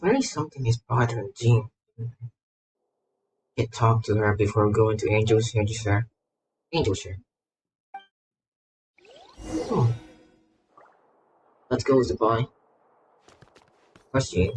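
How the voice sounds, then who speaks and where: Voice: mid-range voice, slow, pauses often, noisy background. A streamer giving casual game commentary, playful and exclamatory, rambling and repeating words.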